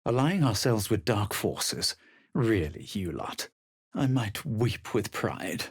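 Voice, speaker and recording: An actor playing a haughty, sardonic male adventurer in a fantasy video game, speaking and narrating with a dry, measured, husky delivery. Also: lowish voice, very animated, no room sound, exaggerated voice.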